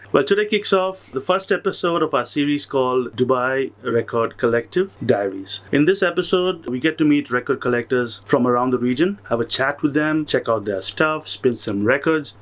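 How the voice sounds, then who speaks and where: A man hosting his own vinyl record channel, upbeat and friendly as he introduces the episode and his guest. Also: medium pitch. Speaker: host. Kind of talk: casual vlog hosting.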